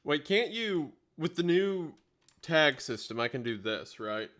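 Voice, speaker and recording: A man's voice: on the high side, big pitch swings, a little slow, fairly bright tone. A man hosting a livestream in casual unscripted chat, rambling and thinking aloud as he multitasks. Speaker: livestream host.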